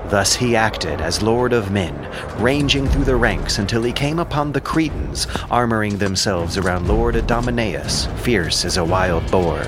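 A man's voice: lowish voice, expressive, moderate pace, few pauses. A man reading scripted epic narration in a solemn, formal storytelling manner. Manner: solemn, formal literary phrasing. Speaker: narrator of an audio drama. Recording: narration over a music bed. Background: music.

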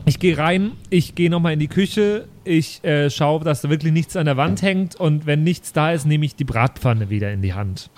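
Casual male player with higher voice. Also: animated, moderate pace, few pauses, a little mellow, a little hiss or hum. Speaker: player in a tabletop role-playing game. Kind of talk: casual improvised tabletop play.

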